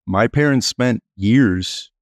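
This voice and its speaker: A man's voice: mid-range voice, takes it slowly, no room sound, studio quality. A man from the United States talking as a podcast guest, easygoing and filler-heavy. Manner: easygoing, fillers like "you know". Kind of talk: casual interview talk.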